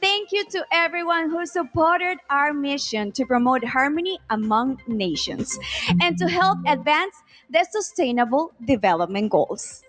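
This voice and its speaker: A woman co-hosting a live pageant, speaking English as an in a deliberate, encouraging way. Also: sits high, animated, fairly bright tone, soft background music. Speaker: pageant co-host. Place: large arena stage.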